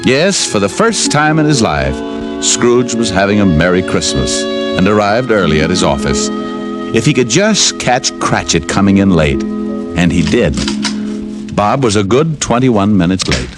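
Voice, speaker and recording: An actor as a folksy, slangy storyteller narrating a tale in 1950s radio style. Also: male voice, lowish voice, big pitch swings, measured pace. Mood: warmly folksy. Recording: old radio broadcast, loud music underneath.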